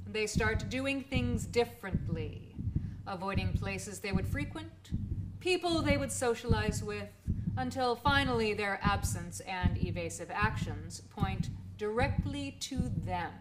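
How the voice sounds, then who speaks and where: A woman playing a matter-of-fact, knowing police officer who speaks deliberately and pointedly in a radio drama. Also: medium pitch, lively intonation, natural voice. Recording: clearly noisy radio drama recording.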